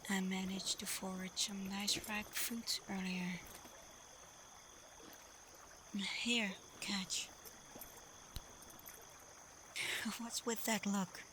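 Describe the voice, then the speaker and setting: A woman playing a caring, protective, frank female werewolf pack leader in a soft, breathy, reassuring ASMR roleplay. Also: mid-range voice, level tone, slow pace, a little hiss or hum. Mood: caring, coaxing.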